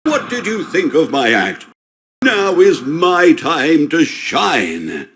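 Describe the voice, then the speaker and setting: A man playing a menacing animatronic showman in a video game, with a theatrical, deliberate delivery. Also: on the high side, big pitch swings, a bit bright, full character voice. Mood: eager, showy. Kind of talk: video game character voice lines.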